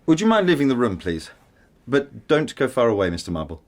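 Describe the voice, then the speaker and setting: A man playing a grave, measured family doctor in a radio drama, with a clinical manner. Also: medium pitch, very animated, darkish tone, soft background music, lightly acted. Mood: suspicious. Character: calm, grave, matter-of-fact family doctor.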